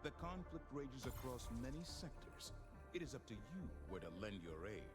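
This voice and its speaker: A stately male war announcer from a video game, played by a man, delivering briefings in a grave, formal tone. His lines ride over loud game music. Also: medium pitch, sing-song, moderate pace, natural voice. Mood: solemn.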